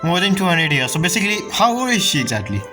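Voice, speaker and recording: Low-voiced woman doing animated game commentary. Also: fast talker. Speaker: game streamer. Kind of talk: casual gameplay commentary. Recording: game music behind voice.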